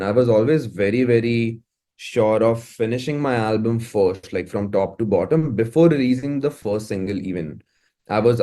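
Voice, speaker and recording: Man, Indian accent, lowish voice, casual interview chat, repetitive informal phrasing. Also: mellow. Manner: informal, repeats and restarts phrases often. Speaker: interview guest, musician and music producer.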